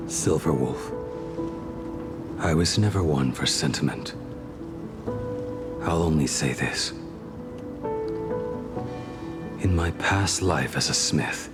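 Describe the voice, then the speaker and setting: Very deep, slow, weary ancient swordsman. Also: heavily acted. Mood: stoic, reserved. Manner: grave, terse, brooding. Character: weary, stoic, ancient male swordsman and former smith. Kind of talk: dramatic video game voice acting.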